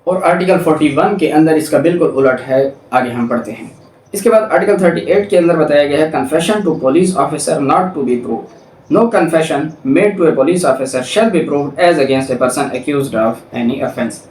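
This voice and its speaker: A man's voice: fairly high pitch, unhurried, darkish tone. A man with a Pakistani accent giving an explanatory law lecture in a didactic way, repeating points for emphasis. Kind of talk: explanatory lecture, reading statutes and explaining them. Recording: roomy echo, distant mic, faint noise.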